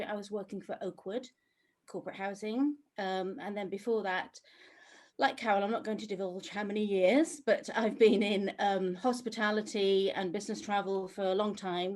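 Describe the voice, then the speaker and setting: A woman's voice: medium pitch, steady tone, normal speed, a little mellow. A woman speaks in an upbeat way, sprinkling her sentences with ums. She is introducing herself on a panel. She is heard over a video call. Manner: upbeat, with frequent filler ums. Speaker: sales executive, panelist.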